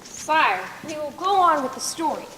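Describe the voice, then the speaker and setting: A young woman playing a composed, clever storyteller queen in a stage play, speaking in a measured, formal way. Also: sits high, expressive, pushed and bright. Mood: composed, defiant. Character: Scheherazade, composed clever queen telling stories to a sultan. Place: school stage play in a large hall. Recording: echoey hall, clear background noise.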